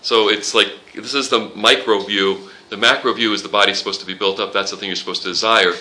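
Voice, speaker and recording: A man's voice: lowish voice, steady tone, fast, pushed and bright, rough recording. A man leading an informal Bible study class, quoting scripture and joking with the students. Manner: conversational, quotes scripture, jokes with the class.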